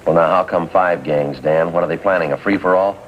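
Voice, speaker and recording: A man playing a terse, dry police detective in a 1950s TV drama, with an American accent and clipped, deadpan delivery. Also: sits low, a little fast, phone-quality sound, slight background noise, natural voice. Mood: businesslike. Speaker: lead actor and narrator of a police series. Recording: vintage 1950s TV soundtrack, background music.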